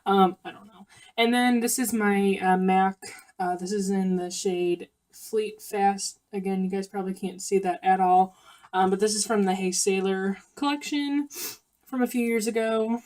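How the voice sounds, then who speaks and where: A woman's voice: medium pitch, fairly even intonation, normal speed, darkish tone. A young woman with a slight cold, chatting casually through a makeup tutorial with lots of ums and self-corrections. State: sniffly, coming down with a cold. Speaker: beauty vlogger.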